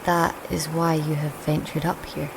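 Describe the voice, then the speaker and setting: A woman playing a proud, lonely, cursed young daughter of a goddess in an audio drama, speaking in a measured, hushed, solemn way. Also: sits low, flat delivery, gravelly, slight background noise, poor audio. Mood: wistful. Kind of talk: audio drama acting with first-person narration.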